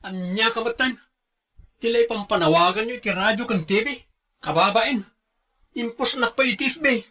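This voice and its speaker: A man's voice: high-pitched, normal speed, bright, forceful tone. A man acting a callous, irritable husband in a radio drama, with an Ilocano accent. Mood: irritated, dismissive. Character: callous, irritable runaway husband who brushes off his wife.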